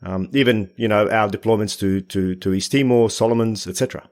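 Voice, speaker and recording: A man from Bosnia hosting a podcast interview, asking long questions in a hesitant, halting way. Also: lowish voice, fairly even intonation, darkish tone. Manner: hesitant, halting, many fillers and restarts. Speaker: podcast host.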